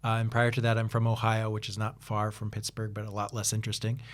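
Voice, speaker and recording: A man's voice: lowish voice, monotone, quick pace. Man, 30s, chatty podcast guest, rambling storyteller. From United States. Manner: chatty, rambling with many restarts and fillers, laughs easily. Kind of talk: casual interview chat.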